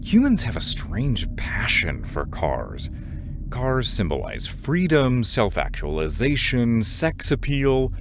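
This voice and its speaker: An actor playing a dutiful, earnest alien agent in an audio drama, speaking in a formal, measured, explanatory way. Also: male voice, mid-range voice, huge pitch swings, background noise, exaggerated voice. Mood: grave, explanatory. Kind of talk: scripted audio drama acting.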